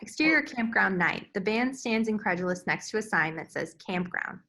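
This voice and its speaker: A woman's voice: mid-range voice, lively intonation, normal speed, bright, forceful tone. A woman narrator reading screenplay stage directions as scripted narration.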